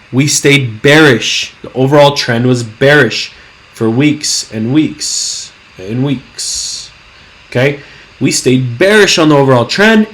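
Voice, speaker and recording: A young man talks through stock charts in a loose, excitable way, piling up slang and fillers. His voice is hoarse and worn, as if he is losing it, and sits at an ordinary middle pitch. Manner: hyped, slangy, rambling with fillers. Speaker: trading channel host. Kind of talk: casual screen-share market commentary.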